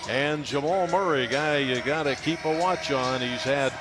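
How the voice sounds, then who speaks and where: A man's voice: on the high side, normal speed, noisy background. A man with an American accent doing live pregame commentary as a radio play-by-play announcer.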